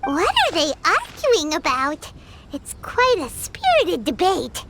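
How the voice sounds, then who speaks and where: Squeaky, excitable little fairy girl. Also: takes it slowly, exaggerated voice. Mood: curious. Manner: refers to self in third person, exclaiming. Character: tiny excitable childlike female fairy companion. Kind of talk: English video game voice acting. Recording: noisy game capture with loud background sound.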